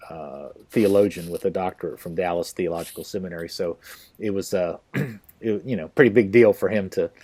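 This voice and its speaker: A man hosting a podcast interview, talking casually and haltingly with many fillers and restarts. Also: deep voice, mellow. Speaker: podcast host.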